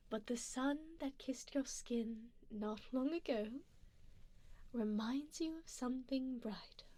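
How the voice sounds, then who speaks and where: Vampire noblewoman , played by a woman, ASMR roleplay: higher-pitched, breathy and whispering, very slow, formal and coaxing, doting with quiet menace. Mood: tender, musing. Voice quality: soft, breathy, often dropping into whisper. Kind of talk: ASMR roleplay, soft personal-attention scene.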